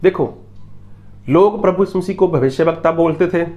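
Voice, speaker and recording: A man's voice: fairly high pitch, normal speed, highs cut off. A man from Punjab, preaching a sermon in a repetitive, emphatic teaching style and asking rhetorical questions. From Punjab, India. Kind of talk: Hindi sermon with Bible readings.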